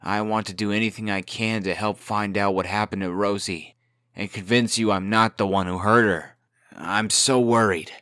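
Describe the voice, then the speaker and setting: An anxious young rogue acted by a man in a comic dub, pleading and earnest. The voice is tense and bright, very dry and close. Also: lowish voice, steady tone, normal speed, no room sound. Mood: anxious, distraught.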